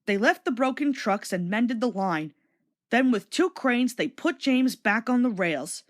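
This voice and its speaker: High, animated woman storyteller. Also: a little slow. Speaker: story narrator. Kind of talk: scripted children's storybook read-along.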